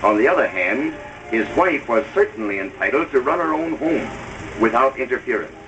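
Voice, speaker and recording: Male advice commentator: didactic, formal scripted delivery, medium pitch, lo-fi vintage soundtrack over music. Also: lively intonation, normal speed, fairly bright tone. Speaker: radio advice commentator, narrator. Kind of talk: scripted 1930s-style radio narration.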